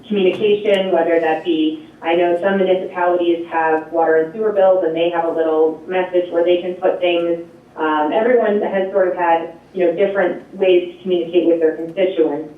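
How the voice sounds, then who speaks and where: A woman presenting a program at a board meeting, explaining and answering questions with a loud voice, many fillers and light laughs. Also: lowish voice. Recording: echoey, distant, tinny phone-like line.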